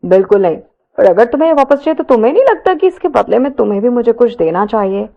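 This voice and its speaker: A woman's voice: a little high, lively intonation, fast talker, creaky, highs cut off. A woman voicing a smug, mischievous young man in an audio story, taunting and amused. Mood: sly.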